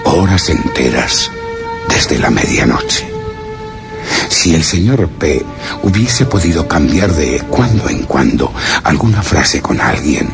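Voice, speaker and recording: Male narrator, extremely low voice, grave measured storytelling for horror radio theatre, loud music bed. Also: wildly swooping pitch. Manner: solemn, measured, literary delivery. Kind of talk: dramatic scripted first-person suspense narration.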